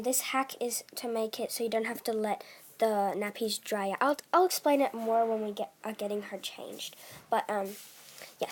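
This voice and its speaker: A teenage girl chatting casually in a vlog, soft-spoken, with many fillers, and often trailing off into a whisper. She has a bad cough. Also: a little high. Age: teens. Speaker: doll collector vlogger. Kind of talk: casual chat.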